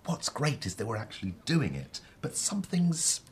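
An actor playing a middle-aged husband in a naturalistic radio drama, chatty, theatrical and wryly self-pitying with a close friend. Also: male voice, medium pitch, huge pitch swings, normal speed, a little hiss or hum. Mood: animated, exasperated.

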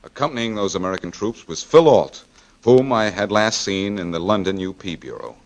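A war correspondent narrates in the first person for a radio drama. His voice is fairly low, grave and measured, with clear rumbling noise behind it. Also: expressive, poor audio. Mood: grave, tense. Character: American male war correspondent recounting battle ordeal.

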